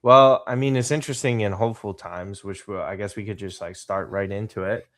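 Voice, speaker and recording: A man hosting a casual podcast interview, energetic and rambling as he asks his questions. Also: lowish voice, brisk, no room sound, clean studio sound. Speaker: podcast host.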